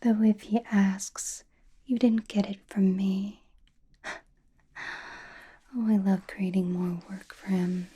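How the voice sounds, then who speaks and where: A woman playing a calm, knowing, teasing sorceress who reads tarot in an ASMR roleplay, with a breathy, soothing whisper and deliberate delivery. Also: medium pitch. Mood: wry, teasing. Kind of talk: ASMR roleplay, personal attention.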